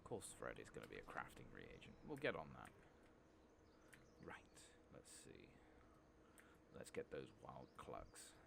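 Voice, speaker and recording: A man giving soft-spoken, casual ASMR commentary over a video game, hushed and intimate and at times dropping to a whisper. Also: rumbling low, huge pitch swings, measured pace, vocal fry, background noise. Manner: casual, mildly sweary. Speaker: host of a gaming ASMR channel.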